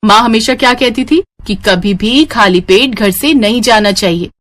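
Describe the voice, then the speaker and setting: A woman's voice: on the high side, moderate pace, fairly bright tone, lightly acted. A woman voicing a caring, affectionate young sister who scolds fondly and expressively in a dramatized family story. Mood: fondly scolding.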